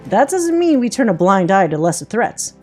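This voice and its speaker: A woman's voice: mid-range voice, sing-song, fairly quick, warm tone. A woman voicing a folksy, confident hunter mentor from a fantasy game, with plain rustic phrasing, over music. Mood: businesslike. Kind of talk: reading game dialogue in character.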